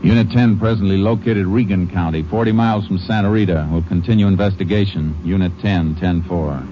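An actor playing a stern Texas Ranger who talks in a clipped, commanding way, in 1940s radio acting style. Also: male voice, low-pitched, steady tone, a little fast, background noise, natural voice. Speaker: narrator and lead. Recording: dull old radio recording.

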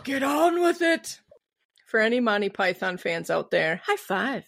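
A woman's voice: on the high side, sing-song, a little slow, gravelly, fairly bright tone, no room sound, studio quality. A woman with a Midwestern American accent, co-hosting a podcast with casual, comedic storytelling in a giggly, playful manner. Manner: giggly, playful, acts out quotes. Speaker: podcast co-host.

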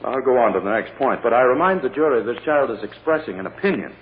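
An actor playing a sly, insistent prosecutor in a vintage radio drama, with theatrical, pointed and coaxing questioning. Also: male voice, mid-range voice, lively intonation, quick pace, lightly acted. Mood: probing. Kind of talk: 1940s radio drama acting. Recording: tinny, noisy old radio recording.

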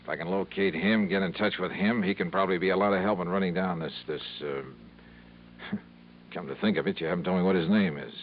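An actor playing a wry, casual insurance investigator, probing and narrating in a 1950s radio drama. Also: male voice, deep voice, steady tone, a little fast. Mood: questioning. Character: wry, brisk male insurance investigator hero. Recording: muffled, noisy 1950s radio recording.

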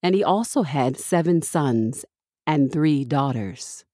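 Woman, low pitch, scripted scripture reading, measured deliberate phrasing, close dry recording. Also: lively intonation, mellow, dry recording. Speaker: scripture reader and podcast host.

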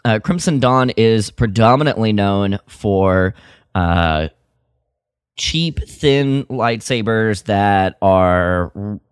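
Male co-host, smooth and unhurried, casual halting delivery, very dry sound. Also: on the low side, no room sound. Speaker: podcast co-host. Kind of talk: casual chat.

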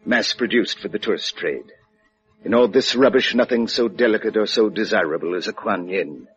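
An actor playing a suave, sinister gentleman villain in a 1950s radio drama, formal, precise and pompous. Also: on the low side, moderate pace, lightly acted. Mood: menacing, precise. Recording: muffled old radio recording with music.

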